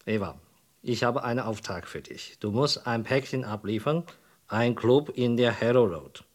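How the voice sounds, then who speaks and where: A man's voice: medium pitch, normal speed, natural voice. Radio drama supporting role, actor: stern man who runs shady errands, mid-range voice, secretive, terse, authoritative. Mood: commanding. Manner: commanding, terse, suspicious. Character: stern, secretive male boss giving shady orders.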